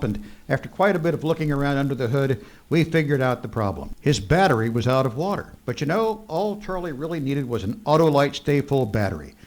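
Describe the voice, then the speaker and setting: A man playing a friendly, folksy sponsor's pitchman in a 1940s-style radio advertisement. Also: natural voice. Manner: folksy, conversational selling.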